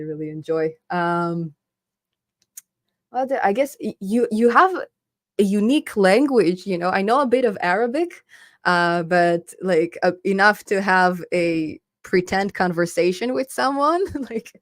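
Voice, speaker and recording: A woman's voice: medium pitch, no room sound, clean studio sound. A young woman in her twenties hosting a live stream, chatting casually and upbeat as she reads out viewer comments, laughing often.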